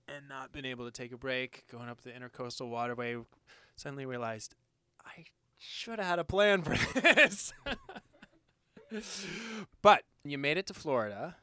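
Bright-voiced man hosting a chat. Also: mid-range voice, normal speed, long pauses, clear, resonant tone, no room sound, studio quality. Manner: friendly, brief reactions, chuckling. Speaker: podcast host. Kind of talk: casual interview.

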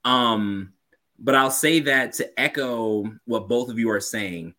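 A man's voice: mid-range voice, takes it slowly, bright, forceful tone. A man on a casual podcast panel over a video call, exuberant and joking, breaking into preacherly flourishes. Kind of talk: casual group chat.

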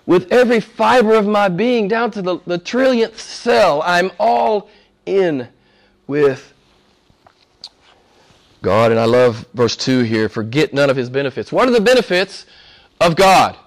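A man preaching a sermon with fervor, hoarse from a cold and losing his voice, dropping to a hush and rising to shouts. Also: high-pitched, lively intonation, measured pace, pauses often. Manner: fervent, swings from hushed to shouting. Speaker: pastor.

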